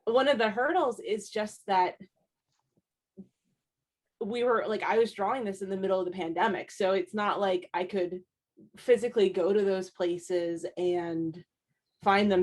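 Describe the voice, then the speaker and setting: Chatty woman with many "like"s. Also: mid-range voice. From United States East Coast. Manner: chatty, heavy use of "like" and "um". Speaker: comic artist. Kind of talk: casual panel discussion.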